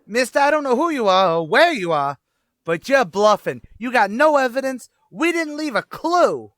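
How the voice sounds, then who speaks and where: A man's voice: very high-pitched, sing-song, moderate pace, fairly bright tone, dry recording, studio quality, full character voice. A man playing a cocky, slangy gangster bank robber in an old-time radio drama. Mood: alarmed, defiant. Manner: brash, slangy, theatrical.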